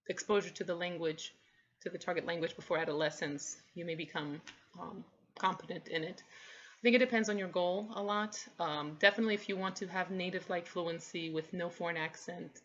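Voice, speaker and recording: A young woman with a fairly low voice, originally from Poland and a native Polish speaker, talks casually to her camera. She explains her views with frequent ums and restarted phrases. The sound is dull and lo-fi, like a simple home recording. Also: fairly even intonation, moderate pace. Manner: thoughtful, many ums and restarts. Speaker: vlogger, parent.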